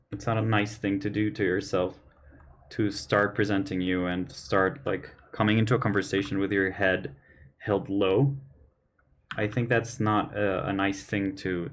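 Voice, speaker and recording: Low-voiced man, slightly hoarse, chatting while painting. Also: a little hiss or hum. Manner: earnest, opinionated, thinks aloud. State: losing his voice, slightly hoarse. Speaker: artist hosting a painting livestream. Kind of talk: casual chat while painting. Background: faint keyboard and mouse clicks.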